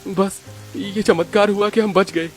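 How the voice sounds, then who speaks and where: A man's voice: high voice, fairly even intonation, measured pace, faint music behind. A man voicing a stubborn, easygoing father-in-law in a Hindi cartoon story. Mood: thankful.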